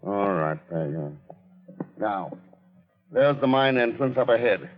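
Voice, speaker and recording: Unflappable male agent, low and clipped, dryly commanding, vintage radio drama. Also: lively intonation, slow pace. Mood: brisk, probing. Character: cool, dry, commanding male secret agent. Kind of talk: 1940s radio acting. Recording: dull old radio recording, music behind.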